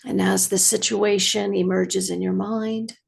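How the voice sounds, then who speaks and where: A woman meditation teacher leading a guided practice in a measured, soothing way, with long pauses and a slightly husky voice. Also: medium pitch, little pitch movement.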